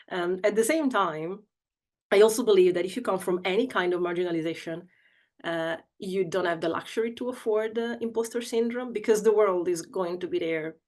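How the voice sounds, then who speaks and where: A woman with an Italian accent speaks in a low-pitched voice. She gives a reflective talk about her writing, pausing often with fillers and small laughs between phrases. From Italy. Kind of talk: informal talk to an audience.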